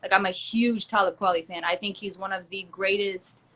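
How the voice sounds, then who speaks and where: A young woman, a rapper born in Germany to Turkish parents and raised in the US, talking casually in an interview with lots of fillers and quick laughs. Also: mid-range voice, steady tone, fairly bright tone. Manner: chatty, laughs often, many fillers. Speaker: rapper and entrepreneur, guest.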